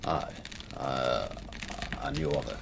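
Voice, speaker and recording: Lively Northern English innkeeper. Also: male voice, rumbling low, slow, creaky, slight background noise, full character voice. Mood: guarded unease. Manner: folksy, deferential, hesitant. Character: deferential, chatty Northern inn landlord. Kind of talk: radio play acting.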